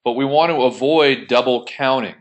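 A man's voice: medium pitch, normal speed. A man teaching as an instructor in an explanatory tutorial, methodical and informal in his delivery. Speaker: accounting instructor. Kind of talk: explanatory screen-share tutorial.

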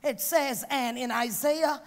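A woman's voice: sits high, takes it slowly. Woman preacher from Trinidad, middle-aged, handheld mic, emphatic delivery, echoing banquet hall. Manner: emphatic, dramatic swings from hushed to loud. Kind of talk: sermon. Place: large banquet hall on stage. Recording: long hall reverb.

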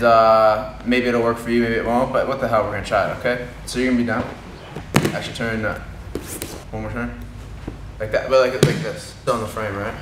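A man's voice: mid-range voice, fairly bright tone. A man in his thirties giving a casual, slangy martial arts lesson as a laid-back instructor, often checking that he is being followed. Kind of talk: casual hands-on technique demonstration. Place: indoor gym with mats and padded walls. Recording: echoey room with background noise.

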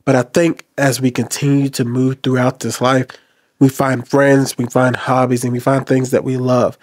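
A man's voice: mid-range voice, level tone, normal speed, a little mellow, no echo. A man in his thirties hosting a reflective commentary, talking earnestly to the camera from a small room. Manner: earnest, advice-giving. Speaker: host. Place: small decorated room indoors. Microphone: desk mic in front.

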